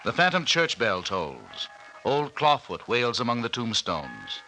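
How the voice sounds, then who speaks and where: A man's voice: medium pitch, wildly swooping pitch, measured pace, bright, forceful tone, heavily acted, low-quality recording. A man as a suspense-building narrator, delivering ominous, theatrical narration in melodramatic 1940s radio style. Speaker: announcer and narrator. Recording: hissy old radio recording.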